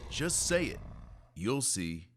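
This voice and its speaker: A man's voice: mid-range voice, sing-song, slow, slight background noise. A man voicing an encouraging friend in a children's storybook, coaching a boy with emphatic urging.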